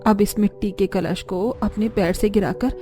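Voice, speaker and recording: A woman acts as a simple, homely mother-in-law in a cartoon story. Her voice is mellow and medium in pitch, affectionate and approving, with music underneath. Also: fairly even intonation, moderate pace, lightly acted. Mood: delighted, welcoming. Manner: affectionate, praising. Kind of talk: cartoon story dialogue acting.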